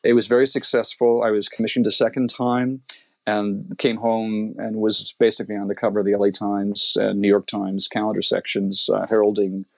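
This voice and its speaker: A man's voice: on the low side, flat delivery, normal speed, vocal fry, no echo. A middle-aged man whose first language is Italian, telling his career story in an interview, rambling and restarting his words often. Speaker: composer and guitarist guest.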